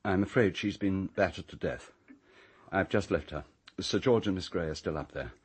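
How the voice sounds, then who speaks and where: A man's voice: low-pitched, normal speed, breathy edge. A man acting a grave, self-possessed British castle owner in a radio drama, giving orders with a commanding manner. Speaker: master of the house.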